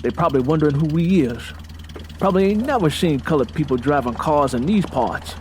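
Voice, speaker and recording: A man's voice: a little high, expressive, fairly quick, slight background noise, lightly acted. A man voicing a boastful, domineering Southern man in an audiobook, scolding and self-important with a Southern US accent.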